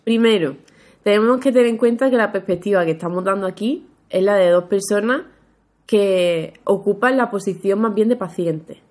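A woman's voice: mid-range voice, normal speed, darkish tone. A young woman co-hosting a casual podcast, playful and teasing, often breaking into giggles. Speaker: podcast co-host.

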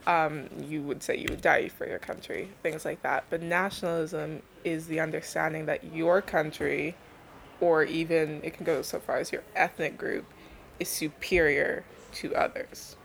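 Low-voiced young woman with creaky fry. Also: steady tone, moderate pace, a little hiss or hum. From Canada, born in Belgium to Nigerian parents. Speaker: interviewed thesis student. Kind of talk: casual interview, explaining own research.

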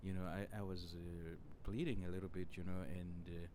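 A man's voice: sits low, little pitch movement, a little fast, noisy background. A man telling a childhood story on his own podcast, rambling and saying "you know" constantly. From Zimbabwe. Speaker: podcast host. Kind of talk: casual solo podcast storytelling.

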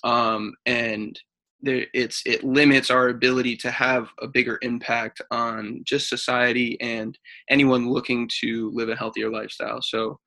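Mid-20s male athletic trainer, casual podcast talk, sharp but level delivery. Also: mid-range voice, little pitch movement, a little slow, creaky, rough recording.

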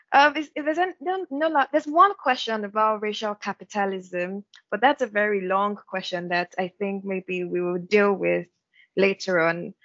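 A woman's voice: medium pitch, fairly bright tone, dry recording. A woman moderating an online panel, chatty and giggly, with loose phrasing. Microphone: video call. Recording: dry, muffled video-call audio.

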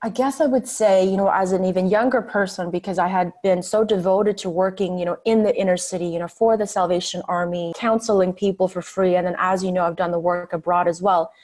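Woman, raised in Scandinavia, interview guest, articulate storyteller, slightly low pitch. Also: fairly even intonation, a little fast, few pauses, a little mellow. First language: a Scandinavian language. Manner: articulate, storytelling, with light laughs. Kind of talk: interview conversation.

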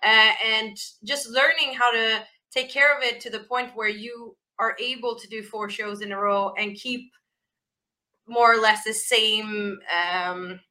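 A woman with a naturally raspy voice that still rings bright and forceful, pitched a little high. She talks loosely as an interview guest, laughing easily and circling back with fillers and restarts. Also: fairly even intonation, a little slow. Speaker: rock band vocalist, guest. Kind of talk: casual interview chat.

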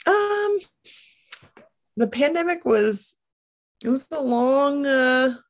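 A woman in her late twenties from Michigan, a guest on a podcast, talking earnestly with light laughs and pauses. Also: sits high, very animated. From Grand Rapids, USA. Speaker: podcast guest, business founder. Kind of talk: casual interview.

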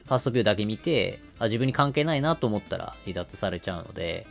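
A man's voice: on the low side, animated, fast, gravelly, soft background music. A man explaining things step by step in a business podcast style, using everyday examples. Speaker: podcast host explaining marketing.

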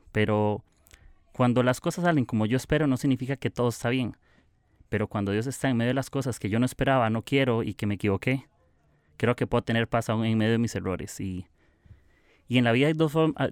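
A man's voice: mid-range voice, clear, resonant tone, dry recording, clean studio sound. A young man with a Costa Rican accent hosting his own podcast, talking in a casual, reflective and confessional way. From Costa Rica. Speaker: podcast host.

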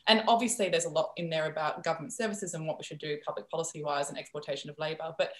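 A young woman from the Netherlands, a native Dutch speaker, talking as a guest in a podcast interview, animated and filler-heavy. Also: deep voice, reverberant. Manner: animated, quick, frequent fillers and laughs.

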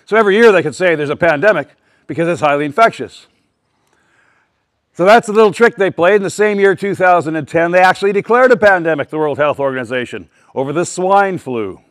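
A man addressing a live town hall audience with a loud, emphatic voice, rambling and often restarting his sentences. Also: a little high, lively intonation, a little fast. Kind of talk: public speech to a live audience. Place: public meeting with a live audience.

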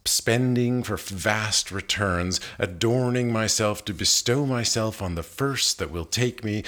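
A man reading poetry aloud in a measured, deliberate manner, pausing between phrases. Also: sits low, fairly bright tone. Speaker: poetry reader. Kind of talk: literary poetry reading, scripted recitation.

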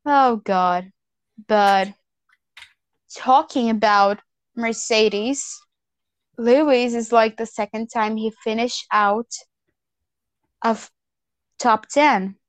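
A woman's voice: on the high side, drawn-out words, long pauses, smooth, dry recording. A woman ranting bluntly and profanely in a casual chat as a podcast co-host.

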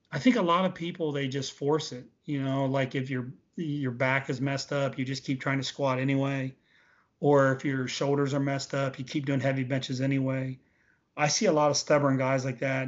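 Guy in his late 40s chatting casually in an interview, stresses his points, muffled lo-fi audio. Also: mid-range voice. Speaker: interview guest, veteran bodybuilder. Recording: dull, lo-fi audio.

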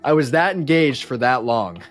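A man's voice: mid-range voice, expressive, normal speed, faint music behind. A man chatting casually as a podcast guest, talking emphatically about things he is a fan of and swearing freely. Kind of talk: animated casual fan chat.

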